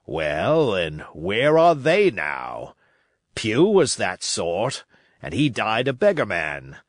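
A man's voice: fairly low pitch, wildly swooping pitch, takes it slowly, no echo, heavily acted. A man reading a storybook, voicing a shrewd, domineering pirate sea cook with salty, emphatic sailor talk. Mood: sly, grave.

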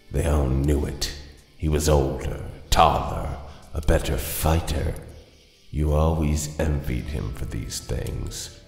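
A man voicing a sinister, knowing voice inside someone's head, slowly coaxing and accusing in a horror story. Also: a bass voice, creaky, reverberant, in a large hall, full character voice. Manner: slow, insinuating.

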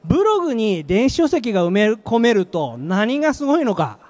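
Man, middle-aged, high voice, very lively intonation, emphatic short phrases, stage presentation, handheld mic. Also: moderate pace. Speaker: company manager presenting a product. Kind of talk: live trade-show presentation with slides. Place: presentation stage at an exhibition venue. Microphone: handheld microphone.

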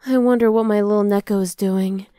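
A woman's voice: mid-range voice, steady tone, a little fast, dark tone, dry recording, close to an everyday voice. Close, dry, teasing half-dragon girlfriend voiced by a woman. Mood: weary, musing. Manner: casual, coaxing, affectionate teasing. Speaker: ASMR roleplay voice actor. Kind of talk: ASMR roleplay acting.

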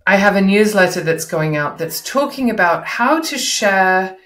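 A woman's voice: mid-range voice, lively intonation, normal speed, a bit bright. A middle-aged woman giving instructive public speaking advice as an interview guest, posing rhetorical questions and examples. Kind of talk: explanatory coaching advice in an interview. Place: indoor room, video call. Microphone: video call, no headset seen. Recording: echoey room over video call.

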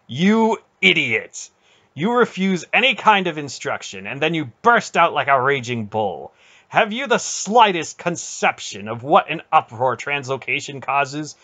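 A man's voice: on the low side, unhurried, pushed and bright. A man voicing a stern, imperious ancient sorceress in an audiobook, commanding and cutting, with wounded intensity. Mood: furious. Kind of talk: dramatic audiobook character voice.

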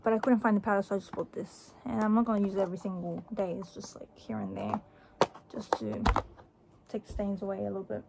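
A woman's voice: mid-range voice, steady tone, fast talker, vocal fry. A young adult woman, chatting casually and offhandedly as a vlogger in a small room, rambling a little. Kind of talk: casual haul vlog chat. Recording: faint background noise.

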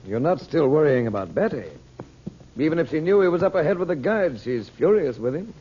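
Mellow, reassuring adventurer. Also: male voice, fairly high pitch, big pitch swings, fairly quick. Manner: reasoning, reassuring, firm. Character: calm, reassuring adult American adventurer and uncle. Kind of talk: 1930s-40s radio acting. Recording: muffled, hissy old radio recording.

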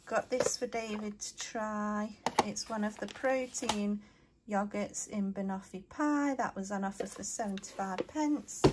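A woman's voice: medium pitch, very noisy. A young woman chatting through a grocery haul in her home, listing items in a matter-of-fact way. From England. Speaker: family vlogger. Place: living room at home, then kitchen counter.

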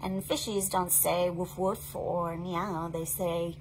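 A woman in her thirties presenting a storytime for young children in an animated, sing-song, encouraging way. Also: lowish voice, takes it slowly, slight background noise, rough recording. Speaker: children's librarian hosting an online storytime. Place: small indoor play tent set up for recording.

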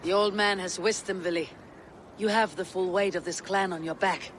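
Casting: loyal Norse raider for a game, high-pitched for a man, firm, blunt, noisy ambience behind. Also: steady tone, normal speed, fairly bright tone. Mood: steady. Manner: firm, direct. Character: resolute, blunt Viking warrior, loyal friend. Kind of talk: video game cutscene acting.

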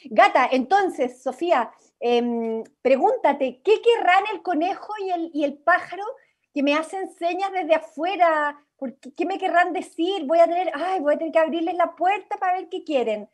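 A Chilean woman with a notably high voice runs a drama workshop on a video call. She guides people through improvisation in an encouraging, directing way. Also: normal speed. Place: indoors at home, on a video call.

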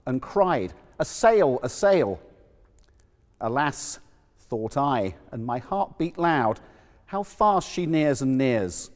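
Slow, echoing man reciting dramatic verse. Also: on the high side, darkish tone. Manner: theatrical, measured, emphatic pauses. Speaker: poetry reciter. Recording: long echoing hall reverb.

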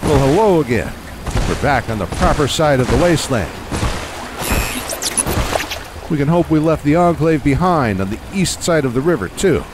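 A man's voice: fairly high pitch, very animated, brisk, heavy background noise. A man playing a wry veteran wasteland soldier, telling war stories conversationally as the storyteller of an audio drama. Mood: calm, wry. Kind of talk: in-character storytelling over radio. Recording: battle sound effects behind the voice.

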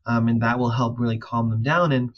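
Young man, flat voice, video call. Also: mid-range voice, fairly quick, highs cut off. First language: Spanish. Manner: relaxed, many fillers and restarts. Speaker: audiologist and PhD student. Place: room at home.

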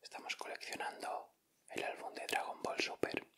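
A man with a Castilian Spanish accent doing gentle, informal ASMR commentary as he hosts an unboxing. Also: normal speed. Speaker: ASMR video host.

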